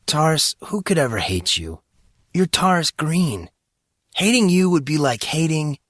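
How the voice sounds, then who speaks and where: A man's voice: a little high, sing-song, unhurried, fairly bright tone, dry recording, studio quality, voice pushed up, exaggerated voice. A man voicing a joking, tender young man in an audiobook story, casual and teasing. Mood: playful, earnest.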